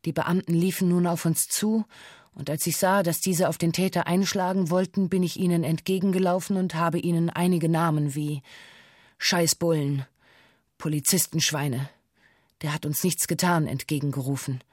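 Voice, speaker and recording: Low-key formal witness, played by a woman, dry studio. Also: fairly even intonation, a little mellow, no room sound, natural voice. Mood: sober, factual. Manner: formal, deliberate statement-like delivery. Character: former hostage giving sober witness testimony of a bank siege. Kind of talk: scripted documentary radio drama monologue.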